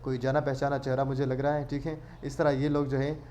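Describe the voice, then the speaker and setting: A man's voice: medium pitch, little pitch movement, a little fast, dark tone, a little hiss or hum. A man hosting an online tutorial, explaining step by step in a casual way and mixing English words into his speech. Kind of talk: casual screen-share tutorial narration.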